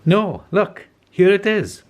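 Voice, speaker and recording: A man voicing an eager, excitable female pirate who cries out urgently in a children's storybook. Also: sits high, slow pace, voice pushed up, exaggerated voice. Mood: excited. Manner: crying out, exclamatory.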